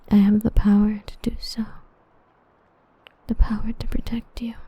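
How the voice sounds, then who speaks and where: A woman playing an obsessive, possessive female villain in an ASMR roleplay, breathy and intimate with menacing devotion. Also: mid-range voice, no echo, lo-fi sound. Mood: tender devotion. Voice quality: breathy, whispery. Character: obsessive yandere female villain, tenderly possessive, murderously protective.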